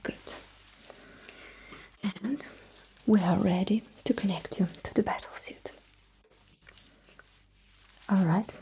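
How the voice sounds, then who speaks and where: A woman whispering breathily in an ASMR roleplay as a sci-fi technician, giving soft, reassuring instructions close to the microphone. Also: medium pitch, slow, long pauses, low-quality recording.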